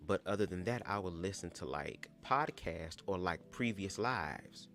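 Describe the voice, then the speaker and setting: A young man with a very low, calm voice hosts a casual livestream from home, talking to viewers in a chatty, sassy way. Soft music plays faintly behind him. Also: a little slow. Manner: chatty, sassy asides with "girl" and "baby". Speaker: livestream host. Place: home, squeaky old chair.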